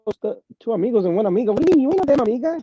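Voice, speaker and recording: A man's voice: very high-pitched, big pitch swings, very fast, warm tone, soft background music. A man co-hosting a casual online chat, teasing and blunt, swearing freely. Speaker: co-host.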